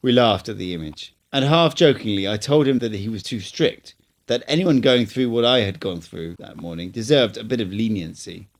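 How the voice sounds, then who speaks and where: A man reading an audiobook in first-person narration, calm and measured. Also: on the low side. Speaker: amateur audiobook reader.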